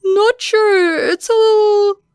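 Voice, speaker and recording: A woman voicing a male police officer who calls out loudly from a distance. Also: extremely high pitch, fairly even intonation, slow pace, no room sound, clean studio sound, pitched above the performer's own voice. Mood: calling. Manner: loud, calling out.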